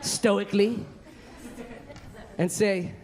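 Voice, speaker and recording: A man from Colombia doing a stand-up set on a comedy club stage, loud and exuberant as he works the crowd. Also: sits high, fairly even intonation, very slow.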